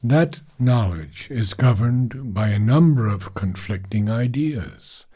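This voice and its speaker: A man reading formal philosophical prose aloud in a deliberate, measured way, pausing between short phrases. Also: mid-range voice, animated, dark tone, slightly rough. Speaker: reader of a philosophical book.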